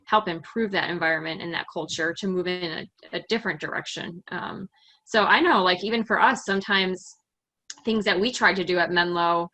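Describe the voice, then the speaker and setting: A middle-aged woman chats casually as an interview guest, filling her talk with "like" and laughing easily. Her voice is bright and clear. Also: on the low side, moderate pace. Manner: chatty, frequent "like" fillers, laughs easily. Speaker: interview guest, software company employee. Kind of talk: casual conversation.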